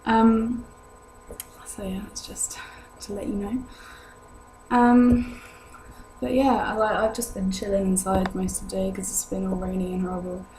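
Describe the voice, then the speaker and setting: Hesitant young British woman, flat intonation. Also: mid-range voice, unhurried. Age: young adult. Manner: rambling, hesitant. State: ill with a sore throat, voice lower than usual. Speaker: vlogger answering viewer questions. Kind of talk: casual chat to camera. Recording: noisy home recording with hum.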